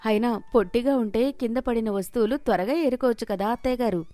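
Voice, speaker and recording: A woman voicing a gentle, patient young daughter-in-law who speaks calmly and respectfully in a moral story. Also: fairly high pitch, fast talker, dry recording, soft background music, lightly acted. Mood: politely cheerful. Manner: respectful, calm. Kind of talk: Telugu moral story character voice.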